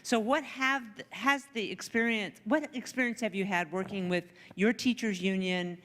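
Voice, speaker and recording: A woman in the audience asking a question thoughtfully, with pauses. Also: mid-range voice, fairly bright tone. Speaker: audience member. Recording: reverberant hall.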